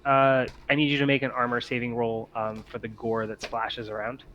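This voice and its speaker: A man's voice: medium pitch, completely flat delivery, normal speed, a bit bright, dull audio. Man running a tabletop game, noisy recording. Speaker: game master. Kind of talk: improvised narration of a tabletop horror game. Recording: clear background noise.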